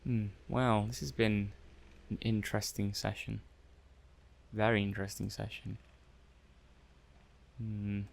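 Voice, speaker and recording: ASMR roleplay casting: man as intrigued robot-testing technician, low gravelly fry, hesitant and musing. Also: a little mellow. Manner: hesitant, musing, thinking aloud while taking notes. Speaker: roleplay performer. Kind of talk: ASMR roleplay, soft-spoken improvised monologue.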